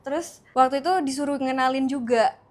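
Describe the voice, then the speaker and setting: A woman in her thirties from Indonesia chats with her partner in a teasing, playful way. Her voice sits high and quick to tease. She talks freely as the show's co-host. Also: flat delivery, darkish tone. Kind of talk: casual couple chat.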